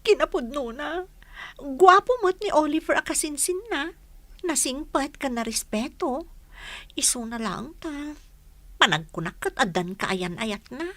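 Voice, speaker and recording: A woman playing a lively, girlish young market vendor in an Ilocano radio drama. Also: high voice, unhurried, no echo. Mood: giddy, lovestruck.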